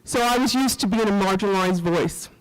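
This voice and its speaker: A woman's voice: mid-range voice, brisk, clear, resonant tone. The sound is a large hall with long reverb. A middle-aged woman from rural Missouri, with that region's accent, speaks to a summit in a personal, earnest way. Her delivery halts at times and leans on repeated phrases. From rural Missouri, USA. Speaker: panel speaker, former police officer and professor. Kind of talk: personal public talk at a summit. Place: event hall at a community center.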